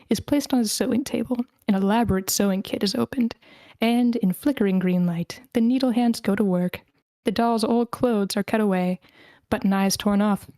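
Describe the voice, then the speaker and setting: A woman's voice: mid-range voice, mellow, no echo. A woman hosting and narrating an informal online screenplay reading, casual and prone to interrupting herself. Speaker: table read host and narrator.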